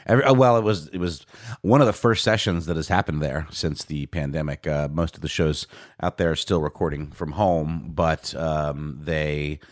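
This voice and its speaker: A man's voice: rumbling low, fairly even intonation, normal speed, dry recording, studio quality. A man co-hosting a podcast in casual chat, his voice sleepy, rambling with fillers and restarts. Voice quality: sleepy-sounding voice. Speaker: podcast co-host.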